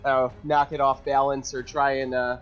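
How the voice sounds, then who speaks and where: A man's voice: medium pitch, level tone, a little fast. A man playing a tabletop game, chatting casually and tentatively, with some swearing. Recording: dull lo-fi sound with faint music.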